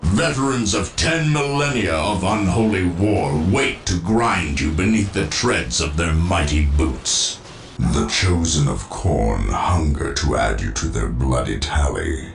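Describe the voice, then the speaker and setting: Deep, gravelly, echoing Chaos warlord. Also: male voice, animated, heavily acted. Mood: grandiose menace. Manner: grandiose, preaching, slow and deliberate. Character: zealous, menacing Chaos Space Marine lord and demagogue. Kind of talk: theatrical video game villain speech. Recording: echoing voice over music.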